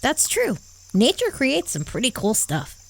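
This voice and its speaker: A woman's voice: fairly high pitch, huge pitch swings, a little slow, creaky, heavily acted. A woman playing a curious, eager young boy with an inquisitive manner in a children's audio drama. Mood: thoughtful, eager. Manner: inquisitive, reasonable.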